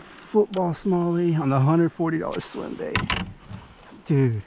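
A young man, excitedly narrating his kayak fishing from an action camera on a river. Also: fairly high pitch, creaky. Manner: excitable, exclaiming, instructive. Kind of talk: excited on-the-water commentary. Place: outdoors on a rushing river in a kayak. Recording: loud rushing water noise.

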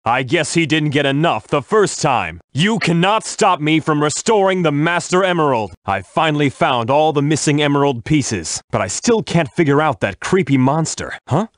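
An actor playing a tough, hot-headed young echidna guardian in a video game, earnest, blunt and declamatory. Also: male voice, fairly high pitch, animated, normal speed, few pauses, dry recording, clean studio sound, close to an everyday voice. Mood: solemn, resolute.

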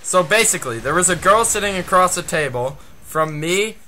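A young man with a fairly high, bright voice tells a casual story. He is crude and swears constantly, with very lively swings in pitch. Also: normal speed, a little hiss or hum. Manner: crude, foul-mouthed. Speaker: guest storyteller.

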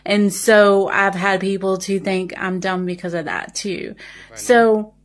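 A woman's voice: mid-range voice, steady tone, slow pace. A woman with a Southern American accent and a slight speech impediment, talking earnestly and carefully in a reflective personal way. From Southern United States. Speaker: social media video responder. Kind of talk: reflective personal talk to camera.

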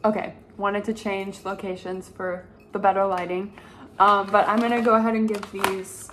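A young woman talks straight to the camera in a home kitchen, upbeat and gushing as she chats about food. Her voice sits at an ordinary middle pitch. Faint kitchen sounds stay behind her. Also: background noise. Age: young adult. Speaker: lifestyle vlogger. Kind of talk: casual chat to camera.

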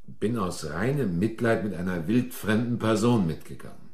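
A man's voice: on the low side, animated, moderate pace, darkish tone, natural voice. An actor playing an agitated, indignant accused man in a German radio thriller, stammering and protesting insistently. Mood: subdued, defensive. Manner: stammering, outbursts sinking to subdued protest.

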